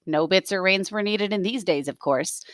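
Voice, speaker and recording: A woman reading a novel aloud as a teacher, expressive, with plain explanatory asides for students. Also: mid-range voice, moderate pace, no room sound, clean studio sound.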